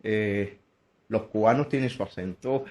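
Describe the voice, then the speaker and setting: A man's voice: on the low side, measured pace, a little mellow. A man with a Colombian accent, a guest in a casual chat, talking in a friendly, easygoing way. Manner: friendly, laughs often, easygoing. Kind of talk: casual conversation.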